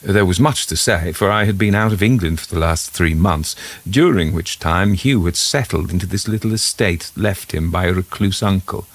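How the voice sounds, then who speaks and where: A man reading a scripted first-person literary story as its narrator, in a measured storytelling manner. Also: low-pitched.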